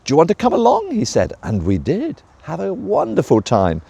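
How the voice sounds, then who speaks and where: A man's voice: fairly high pitch, wildly swooping pitch, normal speed, vocal fry, mellow, husky, no room sound, pitched above the performer's own voice, full character voice. A man voicing an enthusiastic young female dragon who gushes about her day. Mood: gushing.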